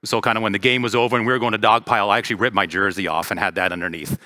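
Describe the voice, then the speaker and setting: A man's voice: on the low side, steady tone, very fast, sharp tone, studio quality. A man in his thirties from Florida, giving a casual, friendly talk to parents as league president, with lots of ums and uhs, on a handheld mic in a church hall. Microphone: handheld microphone.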